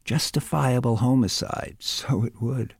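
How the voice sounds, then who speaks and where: A man voicing a belligerent, hard-drinking Dublin man who rants coarsely and mockingly, with a gravelly, husky, lively voice. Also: medium pitch, unhurried, no echo, clean studio sound. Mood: scornful rant.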